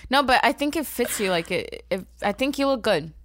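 A woman's voice: fairly high pitch, lively intonation, brisk. A young woman co-hosting a podcast in casual chat, talkative and teasing, laughing often. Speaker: podcast co-host.

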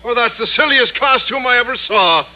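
High-voiced, scrappy stage Irishman. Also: moderate pace. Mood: jeering. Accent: put-on Irish. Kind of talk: 1950s radio comedy acting. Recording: muffled old radio recording, faint noise.

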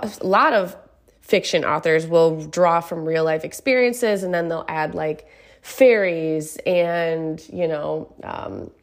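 Woman author, casual chat, slightly stuffy nose, earnest, lower-pitched voice. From Michigan. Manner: chatty, earnest, frequent "right?" asides.

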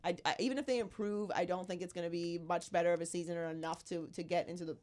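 A woman in her thirties hosting a casual sports podcast, her voice hoarse from illness, talking in an animated, emphatic way. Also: fairly low pitch, fast talker. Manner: animated, emphatic, riffs with rising exclamations. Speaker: podcast host.

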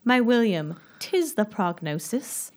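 A woman's voice: medium pitch, very animated, takes it slowly, a little mellow. A woman playing a devoted, melodramatic noble lady with mock-archaic wording in an amateur comic period play. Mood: anguished.

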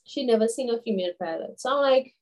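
A woman in her twenties from Grenada, a guest telling stories in a casual interview, chatty and animated with many fillers. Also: fairly high pitch, fast talker. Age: 20s. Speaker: guest, children's author.

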